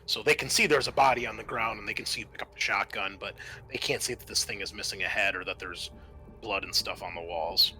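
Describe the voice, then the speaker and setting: Bright-voiced man narrating a tabletop game. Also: lowish voice, faint music behind. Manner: conversational, improvised, halting narration. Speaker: game master.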